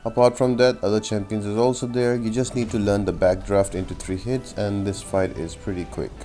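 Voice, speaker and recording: A man's voice: fairly low pitch, flat delivery, a little fast, mellow, faint music behind. A man giving a casual, step-by-step gameplay tutorial as a voice-over, explaining tactics as he goes. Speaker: video game guide host.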